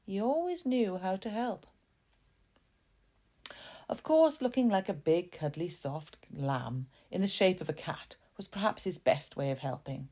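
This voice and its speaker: Female storyteller, low and mellow, gently confiding narration with chatty asides. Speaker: author reading own story.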